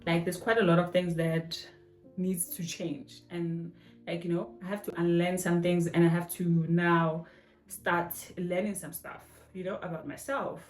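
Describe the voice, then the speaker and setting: A woman in her thirties talks to her viewers in an easy, slangy vlog manner, her voice sitting a little low. She records in a bathroom that rings with echo, and soft music plays faintly behind her. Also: little pitch movement, measured pace. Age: 30s. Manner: chatty, addresses viewers as "guys", slangy "yo". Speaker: vlogger. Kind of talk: casual vlog chat.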